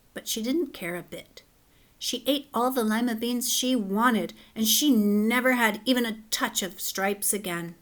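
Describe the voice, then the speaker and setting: A woman teacher reading a children's story aloud and then explaining it in a clear, measured way. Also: mid-range voice. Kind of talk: children's read-aloud and lesson.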